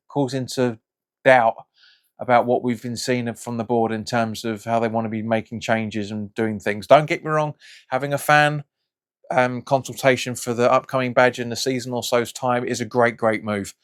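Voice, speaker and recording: A man hosting a football fan channel, talking in a casual, frustrated, rambling way with many restarts, his voice slightly raspy and hoarse from hay fever. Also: medium pitch, fairly even intonation, normal speed. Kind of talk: casual reaction vlog.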